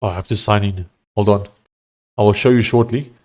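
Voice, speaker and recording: A man's voice: on the low side, steady tone, fairly quick, a little mellow, muffled recording. A man from South Africa with a thick Afrikaans accent, casually chatting with live stream viewers while he codes, thinking aloud with many fillers and restarts. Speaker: live-streaming software developer.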